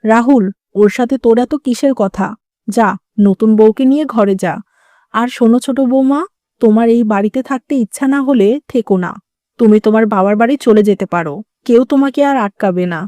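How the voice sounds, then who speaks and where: Woman acting a mellow-voiced, stern cartoon mother-in-law. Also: fairly high pitch, a little fast, no room sound, natural voice. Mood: stern, dismissive. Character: stern older mother-in-law ruling the household. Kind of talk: Bengali moral-story cartoon dubbing.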